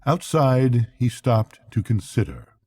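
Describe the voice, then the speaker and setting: A man's voice: medium pitch, very animated, takes it slowly, darkish tone, husky, dry recording. An older man reading a children's bedtime story aloud as narrator.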